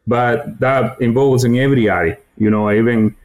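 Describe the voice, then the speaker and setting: A middle-aged man from Guatemala, answering interview questions as a guest in a halting way with many fillers and restarts. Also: medium pitch, fairly even intonation, a little mellow. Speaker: podcast guest, feed industry director.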